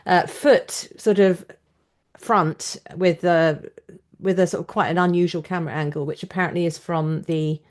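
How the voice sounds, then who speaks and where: A woman's voice: fairly low pitch, steady tone, moderate pace. A woman hosting a conversational podcast interview.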